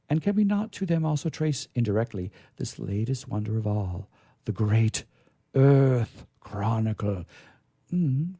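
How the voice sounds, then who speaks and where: A man giving a dramatic story reading in a formal, oratorical manner, with measured, theatrical phrasing and emphatic pauses. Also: medium pitch, warm tone, no echo, studio quality. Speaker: reader at a literature reading. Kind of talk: dramatic reading of a vintage science fiction story.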